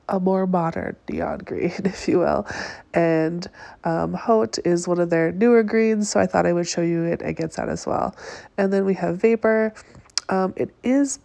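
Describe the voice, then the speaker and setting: Stuffed-up woman with a gravelly voice. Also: fairly low pitch, darkish tone, no room sound. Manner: chatty, many ums and likes. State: stuffed-up nose. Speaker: nail artist reviewing polish. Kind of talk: casual voice-over product review. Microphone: close voice-over mic.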